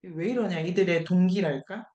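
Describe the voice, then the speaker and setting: A woman's voice: fairly low pitch, normal speed, mellow, reverberant, dull audio. A woman lecturing informally to a seminar group, thinking aloud, posing questions and repeating key words for emphasis. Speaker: lecturer leading an online book seminar.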